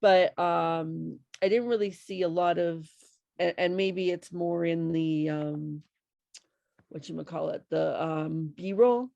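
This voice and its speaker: A woman with a creaky voice giving casual, hesitant feedback on a video call. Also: lowish voice, takes it slowly, darkish tone, no echo, dull audio. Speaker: volunteer reader giving feedback. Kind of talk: casual critique chat.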